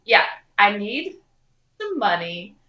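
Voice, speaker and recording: A woman voicing a fibbing little girl adventurer in a tabletop game, pleading and bluffing haltingly, in a roomy, slightly dull recording. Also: mid-range voice, very animated. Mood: wheedling.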